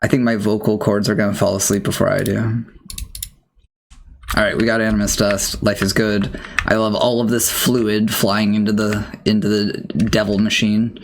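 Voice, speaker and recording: A man's voice: on the low side, little pitch movement, normal speed, vocal fry, a little hiss or hum. A man streaming a game, chatting casually in a rambling, self-mocking way while losing his voice. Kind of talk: casual gameplay chat.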